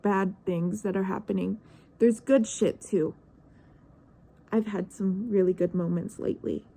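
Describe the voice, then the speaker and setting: Nineteen-year-old woman, vlogger; hesitant, reflective monologue, dark mellow tone. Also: medium pitch, gravelly. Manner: searching, hesitant, trails off into questions.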